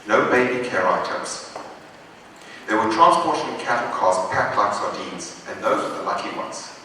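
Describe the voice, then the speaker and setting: Man presenting a prepared talk, deliberate and measured; low, bright voice recorded from afar in a reverberant hall. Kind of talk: prepared lecture, read aloud. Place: lecture hall. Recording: echoey hall from far off with faint noise.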